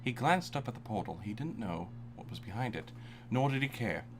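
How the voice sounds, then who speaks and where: A man's voice: medium pitch, lively intonation, a little fast, slight background noise. Man laughing through a dramatic reading. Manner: reads aloud, often breaking into laughter. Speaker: narrator. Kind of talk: comedic fan-fiction reading.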